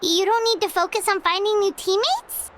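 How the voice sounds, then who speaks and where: A woman's voice: very high voice, normal speed, a little hiss or hum. A woman voicing a tiny, perky, boastful childlike companion creature in a video game. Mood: curious. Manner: chirpy, boastful, exclamatory. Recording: loud background music under the voice.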